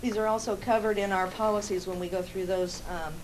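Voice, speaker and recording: Loud-voiced woman presenting hesitantly. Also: medium pitch, fairly even intonation, fairly quick, a little hiss or hum, rough recording. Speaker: housing agency staff presenter. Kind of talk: public meeting presentation.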